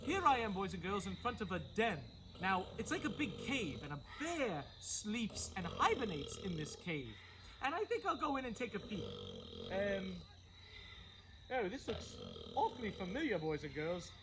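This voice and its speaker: A man playing a fussy, theatrical children's storytime host who addresses children in an exclaiming, sing-song way, with a husky voice. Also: high-pitched, sing-song, normal speed, recorded from a distance, noisy background. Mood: nervous, hushed. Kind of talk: hammy comedic children's read-aloud.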